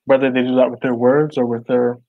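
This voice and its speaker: A young man from Barbados speaks in a mid-pitched voice, earnest and thoughtful, circling back and restarting his phrases as he works out his thoughts. He is on a video call through earbuds from a small room at home. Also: level tone, fairly quick, narrow, tinny audio, a little hiss or hum. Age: young adult. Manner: earnest, reflective, many likes and restarts. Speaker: artist guest. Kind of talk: casual video call interview.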